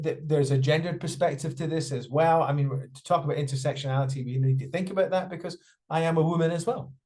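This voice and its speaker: A man with a fairly high voice voices sign language speakers for a panel. His delivery is halting and full of fillers and restarts as he keeps pace with the signers. The sound comes through a video call. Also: darkish tone. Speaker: sign language interpreter voicing deaf panellists. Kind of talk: spoken interpretation of a panel discussion.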